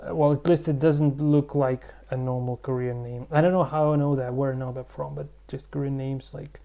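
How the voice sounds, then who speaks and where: Male vlog host, gravelly mellow voice, casual rambling delivery full of fillers. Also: medium pitch, fairly even intonation, brisk. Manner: rambling, thinking aloud with many fillers. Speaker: channel host.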